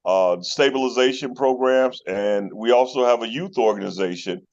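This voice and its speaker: A middle-aged man from with a mid-pitched voice. He talks as a guest on a group call, friendly and rambling, with frequent fillers. The sound is a bit dull, like call audio. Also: normal speed, darkish tone. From Chicago North Side. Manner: friendly storyteller, many fillers. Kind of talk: casual phone call chat.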